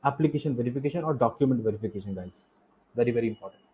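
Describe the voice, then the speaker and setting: A man's voice: mid-range voice, lively intonation, very fast, warm tone, slightly rough, low-quality recording. A man with an Indian accent explaining slides as a coaching instructor, repeating key points and asking rhetorical questions. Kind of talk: explanatory lecture over slides.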